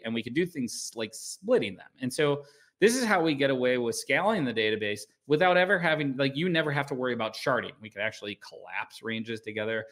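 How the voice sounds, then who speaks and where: A middle-aged man giving a casual technical talk over slides on a video call from a room at home, often restarting and adding "right?". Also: mid-range voice. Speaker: guest speaker at a developer meetup.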